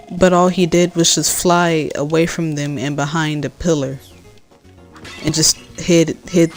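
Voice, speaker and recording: A woman from California with a deep voice, chatting casually as she plays a game and answers her chat, rambling with many fillers and restarts. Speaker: gaming streamer.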